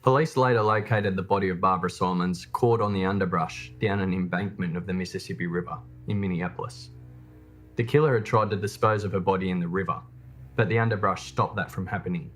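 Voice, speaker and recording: A man's voice: low voice, brisk, fairly bright tone, soft background music. A man reading a scripted true crime documentary narration as its host.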